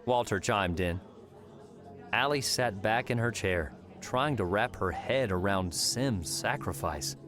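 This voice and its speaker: A man with a fairly low voice narrates scripted fiction. His storytelling is measured, and faint music plays behind him. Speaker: audiobook narrator. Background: soft background music.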